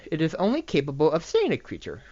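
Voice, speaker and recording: An amateur reader voicing an eager, excitable younger-sister pony princess in a story read aloud. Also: mid-range voice, fast, dry recording. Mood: earnest, reassuring. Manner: pleading, enthusiastic. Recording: dull lo-fi microphone recording.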